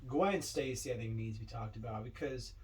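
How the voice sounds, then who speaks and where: A man's voice: medium pitch, a little fast, darkish tone. A man co-hosting a podcast, talking casually about films. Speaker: podcast co-host. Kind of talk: casual film discussion. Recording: distant mic, faint room noise.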